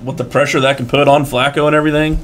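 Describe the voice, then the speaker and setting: A man's voice: medium pitch, level tone, very fast, fairly bright tone. A man co-hosting a casual sports talk show, posing analytical questions.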